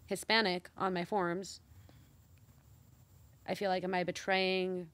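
A woman's voice: lowish voice, little pitch movement, slow, a bit bright. A woman from Mexico co-hosting a casual talk show, speaking earnestly and reflectively with many fillers. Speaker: co-host. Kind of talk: casual chat.

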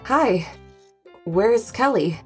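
A woman's voice: on the high side, animated, extremely slow, a little mellow, faint music behind. A woman playing a practical, guarded wife hosting guests in an audio drama, polite and matter-of-fact.

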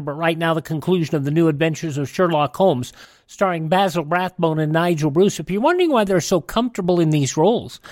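A man's voice: on the high side, no echo, studio quality. A man hosting a radio show, reading scripted news and programme introductions. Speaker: radio host.

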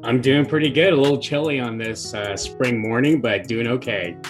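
A man in his forties, born in Thailand and raised in the US, telling his story as an interview guest in an easygoing, unhurried way from his home over a remote call. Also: mid-range voice, music in the background. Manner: easygoing, unhurried, chuckles lightly. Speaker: guest, long-distance hiker. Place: at home, apartment or house.

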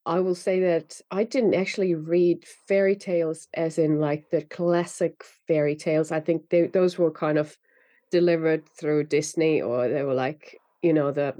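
A woman's voice: deep voice, steady tone, normal speed, mellow, no room sound. A woman author from Finland whose first language is Finnish, chatting loosely with fillers and restarts. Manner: rambling, many fillers and restarts, laughs often. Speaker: author guest. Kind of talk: casual interview chat.

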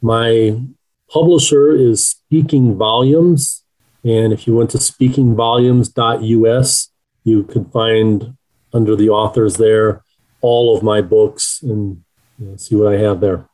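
A man's voice: fairly low pitch, fairly even intonation, slow pace, warm tone, poor audio. A middle-aged man speaking as an author being interviewed, answering in a conversational way.

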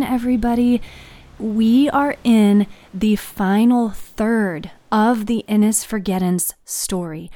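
A woman's voice: medium pitch, mellow. A woman reading scripted material as the writer, narrator and host of a fiction podcast.

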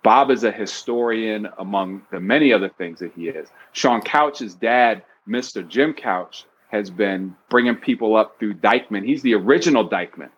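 A man in his fifties, a guest talking casually in an interview, animated and playful as he tells stories. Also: normal speed, hardly any pauses, husky, highs cut off. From New York City. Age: 50s. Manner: animated storyteller, bursts of pitch when excited. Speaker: guest author.

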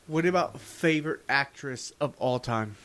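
A man's voice: medium pitch, normal speed, a bit bright. A young man co-hosting a podcast, joking and teasing his friends in a casual chat. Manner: joking, teasing, laughs a lot. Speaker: podcast co-host.

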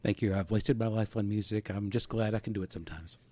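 A man's voice: fairly low pitch, fairly even intonation, fast, warm tone, no echo. A man of fifty-eight from Virginia, the podcast's technical co-host, with modest, self-deprecating humor. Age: 50s. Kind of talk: casual chat.

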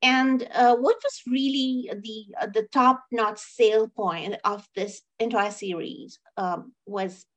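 A woman's voice: fairly high pitch, fairly even intonation, takes it slowly, few pauses, fairly bright tone. A woman co-hosting a review podcast, talking casually and emphatically, often repeating phrases and checking in with questions. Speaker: co-host of a film and TV review show.

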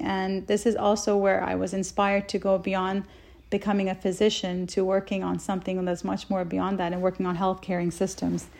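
A woman from Saudi Arabia speaks as an interview guest, her voice marked by a good deal of creak. She talks at length in an earnest, enthusiastic, conversational way, at a middling pitch. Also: darkish tone. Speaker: interview guest, health researcher.

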